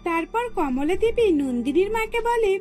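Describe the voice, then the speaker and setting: Woman narrator, high voice, scripted cartoon storytelling, faint music behind. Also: very animated, moderate pace, darkish tone.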